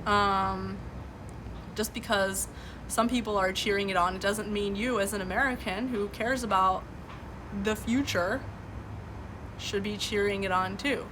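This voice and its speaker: A woman's voice: mid-range voice, fairly even intonation, a little slow, pauses often, noisy background. A woman whose first language is Farsi, hosting a live stream from her home, talking earnestly about current events and answering chat with many fillers and restarts. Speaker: livestream host. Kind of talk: casual political commentary, reading chat. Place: home room with boxes behind.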